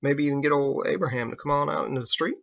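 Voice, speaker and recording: A man voicing a folksy, wary, gruffly protective sailor leader in an audiobook, talking in down-home slang. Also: fairly high pitch, fast talker, dull audio. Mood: skeptical.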